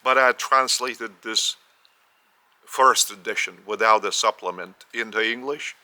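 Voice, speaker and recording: Slow, bright-toned Lithuanian-accented man. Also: fairly low pitch, poor audio. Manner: measured, with repetitions and careful restarts. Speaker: spiritual teacher. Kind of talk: slow spoken teaching.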